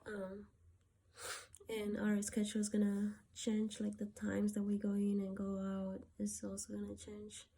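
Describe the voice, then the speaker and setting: A woman from Peru talking through a personal gratitude list, softly and hesitantly, with many pauses and fillers. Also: mid-range voice, completely flat delivery, vocal fry. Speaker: vlogger.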